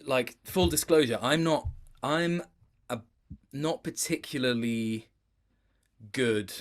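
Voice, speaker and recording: A man speaking as an interview guest in a casual chat, thoughtful and halting, often reworking his sentences as he goes. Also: medium pitch. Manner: thoughtful, halting, with many self-corrections.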